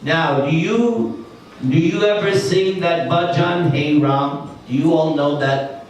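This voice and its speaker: A man's voice: a little high, a crowd in the background. A man giving a devotional temple lecture, leading the audience phrase by phrase in call-and-response with a few light jokes. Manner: slow, deliberate phrase-by-phrase leading of repetition; light joking asides to the audience. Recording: echoey hall, distant mic, music behind.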